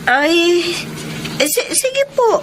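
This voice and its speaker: A woman's voice: very high voice, sing-song, drawn-out words, noisy background, heavily acted. A woman with a Filipino accent playing a shy, respectful young housemaid and hopeful student in a radio drama, polite and hesitant. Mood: bashful, flustered.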